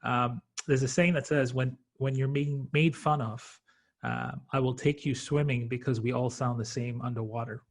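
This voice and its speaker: A man from Tanzania who later lived in Canada and Australia, speaking as a panelist in an earnest, reflective way with hesitant pauses. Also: mid-range voice, steady tone. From born in Tanzania, later Canada and Australia. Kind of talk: reflective personal introduction.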